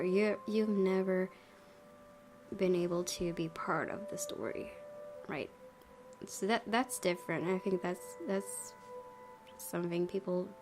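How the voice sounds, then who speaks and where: A woman hosting a let's play, commenting casually in a chatty, giggly, rambling way. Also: mid-range voice. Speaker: gaming video host. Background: soft game music.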